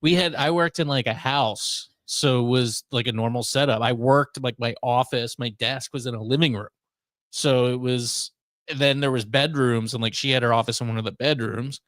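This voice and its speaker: A man's voice: medium pitch, fairly even intonation, moderate pace, a bit bright, dry recording. Rambling, joking man in dry sound. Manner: crude joking, rambling, incredulous. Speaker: radio show host. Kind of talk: casual comic chat.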